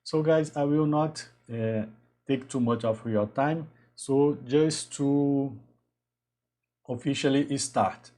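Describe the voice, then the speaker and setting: A man in his thirties from Brazil with a Brazilian Portuguese accent, talking casually on a live stream in a halting way with frequent restarts. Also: medium pitch, warm tone. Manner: halting, restarts often, greets viewers. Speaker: engineer hosting a live stream.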